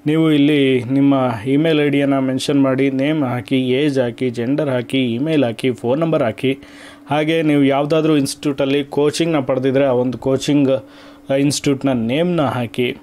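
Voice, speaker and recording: A man's voice: mid-range voice, little pitch movement, moderate pace, darkish tone. A man hosting an explanatory vlog, mixing in English terms and listing things emphatically. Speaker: YouTube channel host. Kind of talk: explanatory vlog talk to viewers.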